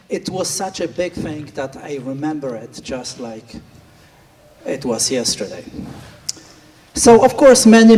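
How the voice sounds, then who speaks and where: A man's voice: on the high side, a little mellow, slight background noise. A middle-aged man with a Polish accent gives an earnest speech at a gala, telling a story with quoted inner voices. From Poland. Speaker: flight instructor giving a speech. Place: large banquet hall. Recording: distant speaker in a reverberant hall.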